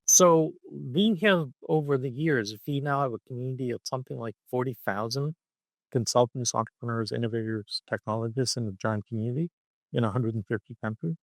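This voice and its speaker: A man being interviewed on a podcast, explaining ideas in an animated, conversational way and often checking in with "okay?" or "right?". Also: medium pitch, brisk, creaky, dry recording, poor audio. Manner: punctuates points with "okay?" and "right?". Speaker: podcast guest.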